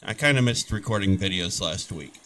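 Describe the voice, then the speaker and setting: A man's voice: low-pitched, lively intonation, a little fast, fairly bright tone, a little hiss or hum. A man hosting a gaming channel, chatting casually over his gameplay, rambling and restarting his sentences as he gets over a head cold.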